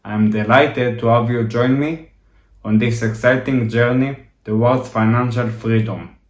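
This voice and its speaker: A man in his thirties from Israel with an Israeli accent, reading a scripted introduction to his course in a steady, measured way. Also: medium pitch, echoey room. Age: 30s. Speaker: course instructor.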